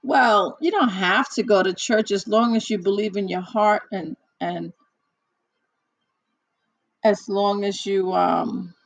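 A hoarse woman in casual one-on-one chat, talking haltingly with many fillers and restarts. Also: medium pitch, no echo. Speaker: chat partner.

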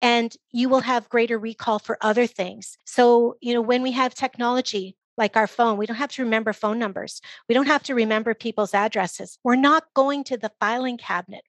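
A woman's voice: on the high side, no echo. A woman speaking as an expert guest, answering interview questions in an explanatory, conversational way. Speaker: literacy program guest expert.

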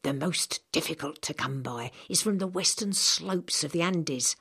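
A woman reader plays an old storybook apothecary, a gruff and knowing man. The speech is measured and a little lecturing, low and husky. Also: fairly bright tone, heavily acted. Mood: knowing, proud.

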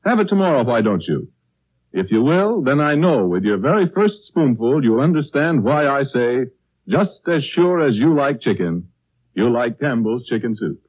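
A man announcing in 1930s radio style, polished, genial and measured as he pitches to listeners. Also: big pitch swings, slightly rough. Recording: tinny vintage 1930s radio broadcast.

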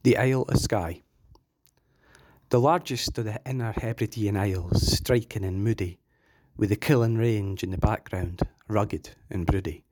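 A man with a Scottish accent reciting a rhyming poem in a steady rhythm. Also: on the low side, measured pace. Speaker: poetry reader. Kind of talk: poetry reading.

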